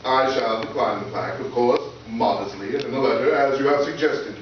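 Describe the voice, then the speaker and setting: A man's voice: medium pitch, fairly quick, lo-fi sound, natural voice. A man playing a vain, pompous school principal with grandiose, florid delivery in old-time radio comedy style. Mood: indignant grandiose. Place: live stage performance in a reverberant room. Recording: echoey distant stage recording, faint noise.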